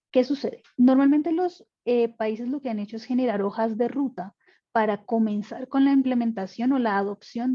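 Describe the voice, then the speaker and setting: Woman expert explaining, fairly high voice, dull lo-fi call sound, thinks aloud with restarts. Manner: explanatory, with frequent fillers and restarts. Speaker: panelist, insurance expert. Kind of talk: technical webinar explanation.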